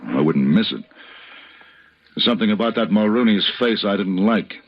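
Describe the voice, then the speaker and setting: An actor playing a cool, wry western gunman in an old-time radio drama, laconic and measured. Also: fairly low pitch, expressive, close to an everyday voice. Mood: steady. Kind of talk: old-time radio western acting and first-person narration. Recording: dull, noisy old radio recording.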